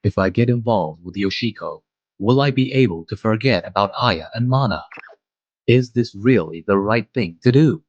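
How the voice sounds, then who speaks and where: A man's voice: fairly low pitch, no room sound, muffled recording. A man playing a devoted, conflicted guardian who narrates his own story in a manga dub. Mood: hesitant, introspective.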